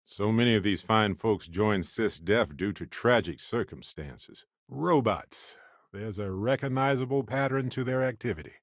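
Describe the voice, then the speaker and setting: An actor playing a witty, adventurous male space explorer companion in a video game, speaking in an expressive, conversational way. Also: on the low side, moderate pace, no room sound, studio quality. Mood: reflective, earnest.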